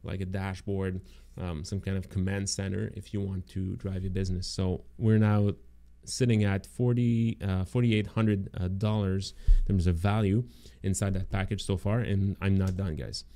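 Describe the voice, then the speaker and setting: Man in his thirties, low mellow tone, tired throaty voice, casual filler-heavy livestream pitch. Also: little pitch movement, normal speed. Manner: rambling, many fillers and restarts. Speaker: agency owner presenting course bonuses. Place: home room indoors. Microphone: close studio mic in frame.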